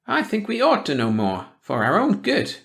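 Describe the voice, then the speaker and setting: A man voicing a sharp-faced, nosy small-town woman who talks in a suspicious, gossipy way. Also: sits high, huge pitch swings, normal speed, vocal fry, voice pushed up, heavily acted. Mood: prying.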